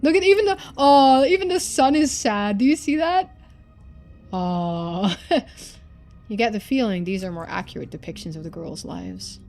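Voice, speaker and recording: A woman's voice: medium pitch, animated, normal speed, darkish tone, soft background music. A woman streamer giving casual playthrough commentary, thinking aloud and reading game text out.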